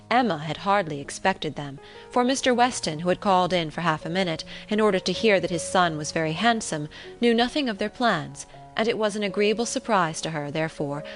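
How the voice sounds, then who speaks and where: A woman reading a classic novel as an audiobook narrator, in a measured, formal manner. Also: fairly low pitch. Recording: relaxing music behind the voice.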